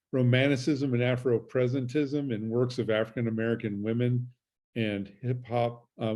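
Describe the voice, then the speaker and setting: A man's voice: medium pitch, a little mellow. A man hosting a virtual book launch over a video call, talking in a casual, friendly way.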